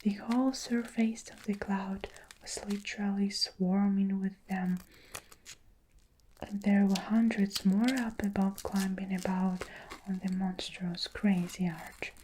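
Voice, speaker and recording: A woman reads a storybook in a soft ASMR whisper, slow and unhurried. Her tone is dark and mellow. A faint noise runs behind her. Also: mid-range voice, flat delivery, poor audio.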